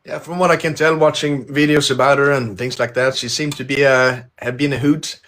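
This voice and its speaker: A man's voice: medium pitch, fairly even intonation, fairly quick, fairly bright tone. Easygoing male podcast host. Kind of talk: casual interview chat.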